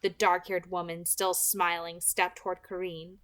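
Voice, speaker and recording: A woman's voice: lowish voice, level tone, a little slow, fairly bright tone. A woman reading a novel aloud as host, in the style of an amateur audiobook.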